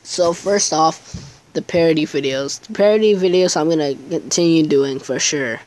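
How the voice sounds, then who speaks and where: A man's voice: on the high side, normal speed, a little mellow, rough recording. A teenage boy chatting casually over his gameplay video, rambling and hesitant, with many fillers. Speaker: gaming YouTuber.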